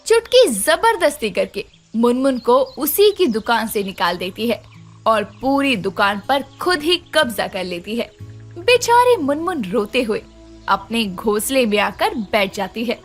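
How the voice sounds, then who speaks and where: Female narrator for a children's tale, high and lively delivery. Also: measured pace, faint music behind. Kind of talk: scripted children's story narration.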